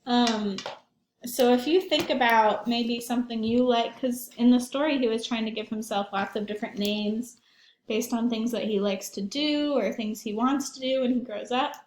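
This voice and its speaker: A woman's voice: a little high, normal speed, a little mellow, a little hiss or hum. A woman talking to young children during a read-aloud, putting questions to her listeners. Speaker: read-aloud teacher or librarian. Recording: echoey room.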